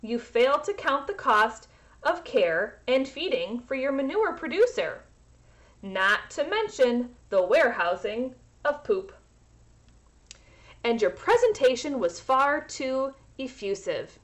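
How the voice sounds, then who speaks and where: A woman's voice: high voice, big pitch swings, slow, exaggerated voice. A woman voicing a firm, businesslike father in a children's storybook, speaking formally and emphatically. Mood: firm refusal.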